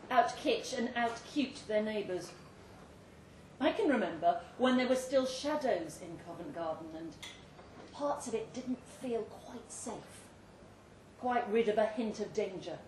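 A British woman reading a literary short story aloud at a live event, with expressive, measured and dramatic phrasing. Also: on the high side. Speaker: story reader. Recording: roomy live venue with faint background noise.

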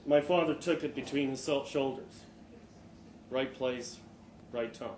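A man giving an expressive live reading of a first-person short story, with dramatic pauses and the odd stumble. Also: mid-range voice. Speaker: story reader. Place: live storytelling event in a pub room. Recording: echoey live venue, faint background noise.

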